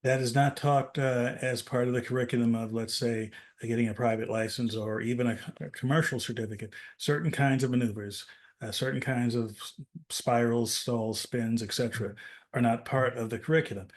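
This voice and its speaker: Man, average pitch, podcast co-host, conversational explainer, rhetorical question-and-answer delivery. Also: steady tone, fairly quick. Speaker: aviation safety podcast co-host. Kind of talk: casual explanatory discussion.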